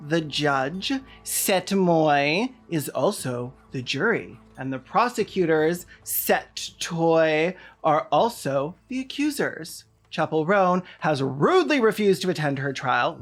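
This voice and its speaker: A woman's voice: low-pitched, sing-song, takes it slowly, hardly any pauses, faint music behind. A woman video essayist delivering scripted commentary in a sardonic, theatrical manner.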